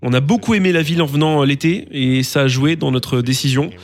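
A man giving a French voice-over translation of a player's answers, steady with occasional fillers. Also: on the high side, brisk.

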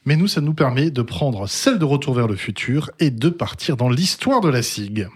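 A man hosting a club radio program, asking questions and narrating a scripted segment in a measured way. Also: mid-range voice, expressive, noisy background. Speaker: radio host.

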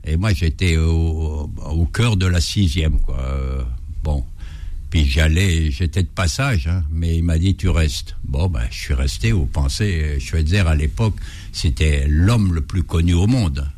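A man's voice: a bass voice, measured pace, a little mellow. An older man with an Ardennes French accent, a surgeon and anthropologist guest telling anecdotes in a folksy, joking, rambling radio interview.

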